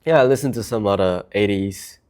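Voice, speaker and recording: A man's voice: fairly low pitch, brisk, a little mellow, clear, resonant tone. A man from Japan, a native Japanese speaker with a Japanese accent, chatting casually and enthusiastically as a guest. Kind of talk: casual chat.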